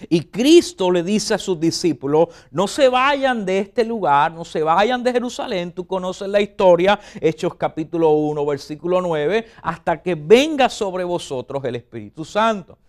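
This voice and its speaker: High-voiced preacher. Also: male voice, animated, normal speed, few pauses. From Puerto Rico. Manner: fervent preaching, addresses listeners directly. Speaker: pastor. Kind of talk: sermon.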